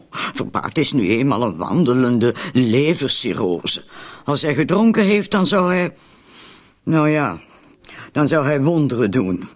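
An anxious, doubting accomplice in a radio drama. The voice is middling in pitch and very lively in its rises and falls, with a hesitant, questioning delivery. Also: male voice. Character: anxious, doubting, wordy accomplice in a murder plot. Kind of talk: Dutch-language radio drama acting.

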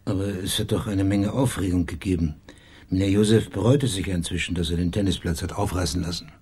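Low-voiced, persistent male investigator. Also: fairly even intonation, brisk, darkish tone, breathy edge. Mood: quietly insistent. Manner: polite, insistent, matter-of-fact questioning. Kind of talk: radio crime drama dialogue.

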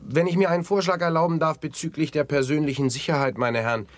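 A man's voice: a little high, steady tone, fast talker, no echo, natural voice. An actor playing an obliging but pushy safari shop salesman in a 1970s German radio drama, with formal, polite patter. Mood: smooth, obliging.

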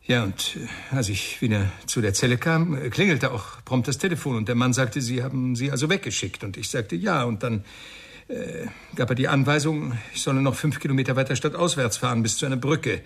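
Hesitant, creaky-voiced shaken father. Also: medium pitch. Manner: hesitant, halting. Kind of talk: German radio crime drama acting.

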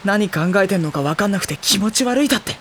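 A man playing a gentle, wounded high school boy in an audio drama, speaking in a soft, introspective, earnest way. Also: animated, fast, a little hiss or hum. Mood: hurt, brooding. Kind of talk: Japanese audio drama acting with introspective monologue.

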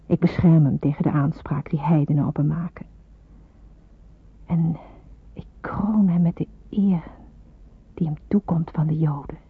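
A woman's voice: deep voice, steady tone, a little slow, long pauses, breathy edge, exaggerated voice. A woman playing an earnest, fervent believer in wartime, delivering a dramatic, intense and reflective radio play monologue. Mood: solemn, moved. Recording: muffled phone-like old radio play recording.

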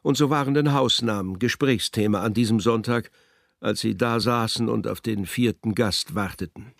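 A man's voice: on the low side, moderate pace, creaky, dry recording, clean studio sound. A male actor narrating a literary radio drama as a wry, scripted storyteller. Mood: wry, measured.

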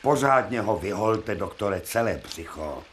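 A man acting in a radio play as a commanding, brisk surgeon professor who gives clipped, imperious orders. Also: on the low side, lively intonation, a bit bright, a little hiss or hum. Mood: commanding, urgent. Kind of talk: theatrical radio drama acting.

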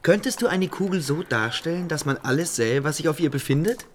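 An actor playing an inquisitive medieval scholar who argues and explains earnestly in radio drama dialogue. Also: male voice, a little high, expressive, a little fast, a little hiss or hum, lightly acted. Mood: earnest, argumentative. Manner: argumentative, explanatory.

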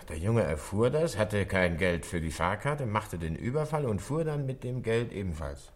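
A man's voice: fairly low pitch, big pitch swings, normal speed. An actor playing an inquisitive junior detective questioning his chief in a collegial way in a German radio crime drama.

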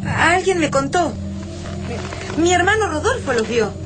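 A woman's voice: very high-pitched, big pitch swings, a little slow, background noise, exaggerated voice. An actress with an Argentine accent playing an alluring young woman survivor in a sci-fi radio drama. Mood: earnest, urgent.

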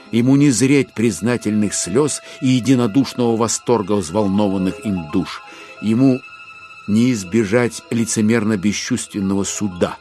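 A male narrator with a lowish voice delivers literary prose in a theatrical, declamatory way. He takes his time with long pauses, and his intonation rises and falls widely over faint music. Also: darkish tone.

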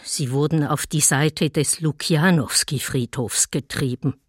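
An actress playing a grave woman witness of war, reading memories in a restrained, deliberate radio docudrama style. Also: sits low, husky, dry recording, clean studio sound. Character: grave female eyewitness recalling wartime horrors.

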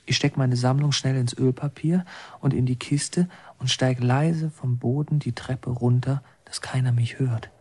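An intent, anxious son narrating. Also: male voice, medium pitch, steady tone, unhurried, dark tone, husky. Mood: tense, secretive. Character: son recounting tense childhood memories, anxious and secretive. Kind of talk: first-person radio drama narration.

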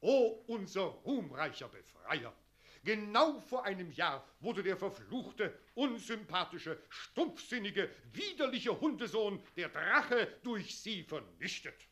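A man's voice: sits high, very animated, takes it slowly, a bit bright, a little hiss or hum, heavily acted. An actor playing a servile townsman in a radio play, dutifully reciting praise in a stilted, declamatory manner. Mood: stilted jubilation.

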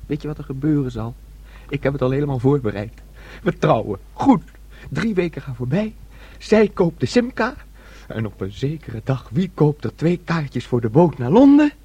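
A man's voice: on the high side, big pitch swings, moderate pace, mellow, slightly rough. An actor playing a childlike, dreamy young man in a radio play, with a naive, wistful storytelling manner. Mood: excited, daydreaming. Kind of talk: Dutch radio play acting.

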